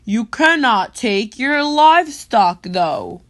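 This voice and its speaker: A woman acts out a mean old storybook king. The voice is pitched up high and very slow, with every word pushed out loud and emphatic. He is stubborn, exasperated and defiant. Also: sing-song. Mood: exasperated bargaining. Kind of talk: storybook read-aloud.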